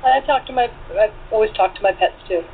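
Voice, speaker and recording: A woman's voice: a little high, fast talker. A woman guest chatting casually, rambling a little hesitantly as she recalls things. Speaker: voice actor guest. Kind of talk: casual chat. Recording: tinny phone line with faint noise.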